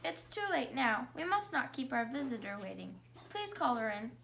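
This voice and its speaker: A woman's voice: fairly high pitch, sing-song, moderate pace, creaky, a bit bright, heavily acted. A woman reading a storybook as a tired mother, polite but firm in her requests. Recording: faint background noise.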